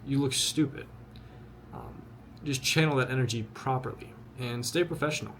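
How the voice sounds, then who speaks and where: A man's voice: mid-range voice, moderate pace, a bit bright, slight background noise. A twenty-year-old man giving a blunt, earnest motivational talk to the camera. He repeats himself often and talks straight to his listener. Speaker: vlogger.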